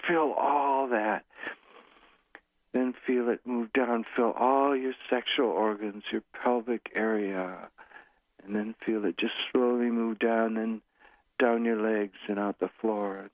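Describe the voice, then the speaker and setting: A man in his sixties, a guest author speaking calmly and leading a slow guided meditation. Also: on the low side, no echo. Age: 60s. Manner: slow, soothing guiding voice. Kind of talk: guided meditation and interview talk. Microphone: phone call. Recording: tinny phone line.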